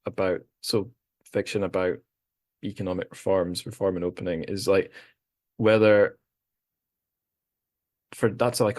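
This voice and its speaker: Low-voiced man hosting a casual podcast chat. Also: no room sound, clean studio sound. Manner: inquisitive, moderating the talk. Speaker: podcast host.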